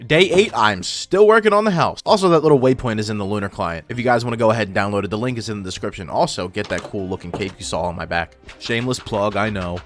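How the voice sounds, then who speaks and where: A man's voice: fairly low pitch, quick pace, hardly any pauses. Casual young man narrating gameplay, music behind. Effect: voice filter. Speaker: gaming video host. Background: background music.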